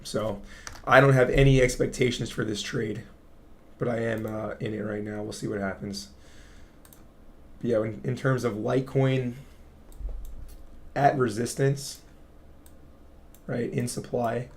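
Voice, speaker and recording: Guy with a raspy voice talking crypto charts on a livestream, casual, keeps saying right?. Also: mid-range voice, steady tone, a little slow, long pauses. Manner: chatty, explains to viewers, asks "right?". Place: home studio. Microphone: studio mic.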